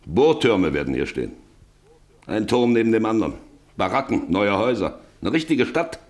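A man's voice: lowish voice, sing-song, moderate pace. An actor playing a middle-aged, domineering, money-hungry farmer and father in a German radio drama, commanding and cutting others short. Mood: feverishly obsessed.

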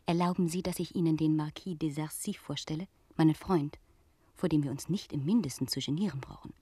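A woman's voice: low voice, fairly quick, mellow, slightly rough, no room sound. An actress in a German radio play voicing a scheming, worldly noblewoman with a theatrical, insinuating manner. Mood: gushing surprise. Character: scheming aristocratic French lady, cool, worldly, feigning friendship. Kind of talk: German radio drama acting.

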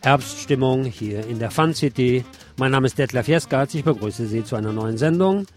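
A man hosting a radio show, reading a scripted literary essay in a deliberate way. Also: medium pitch. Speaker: radio host. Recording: music behind the voice. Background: music.

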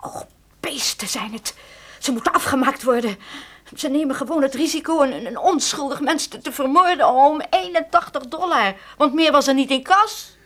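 An injured night porter in a radio play. Her voice is high, and she speaks haltingly, shaken and full of aggrieved indignation. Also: fairly bright tone. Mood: outraged, tearful.

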